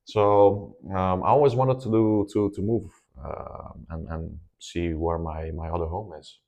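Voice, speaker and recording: A man's voice: deep voice, steady tone, unhurried, gravelly, darkish tone. A man, a guest speaking in a casual interview, hesitant with many ums and restarts. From Netherlands.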